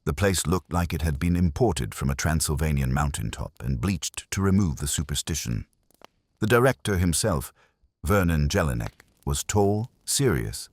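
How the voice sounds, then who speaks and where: A man's voice: very deep voice, sing-song, moderate pace, husky, no room sound. A man narrating a first-person detective audiobook in a wry, hardboiled manner.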